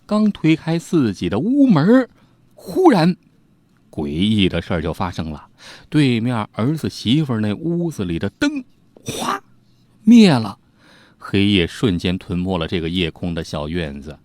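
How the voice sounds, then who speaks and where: Guy telling a spooky old crime story, lowish voice, swoops up and down, really theatrical, dry sound. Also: darkish tone, no room sound. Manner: theatrical, suspenseful, folksy asides. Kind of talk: dramatic oral storytelling of an old crime tale, conversational.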